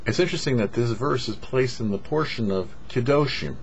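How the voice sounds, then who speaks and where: A man who is a rabbi gives a short didactic sermon with emphatic phrasing and pauses. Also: mid-range voice, lively intonation. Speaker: rabbi giving a weekly Torah talk. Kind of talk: short sermon on the weekly Torah portion. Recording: muffled lo-fi audio with background noise.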